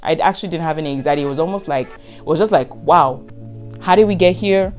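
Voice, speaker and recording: A Nigerian woman in her twenties talks through a casual question-and-answer vlog. She speaks quickly and frankly, leaning on repeated words to drive points home, with soft music in the background. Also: sits low. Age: 20s. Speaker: content creator.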